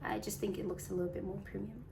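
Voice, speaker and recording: Chatty woman, slightly hoarse from flu. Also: mid-range voice, fairly even intonation, fast talker, heavy background noise. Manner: chatty, rambling, uses fillers like "um" and "like". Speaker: unboxing vlogger. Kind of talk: casual unboxing narration. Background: music in the background.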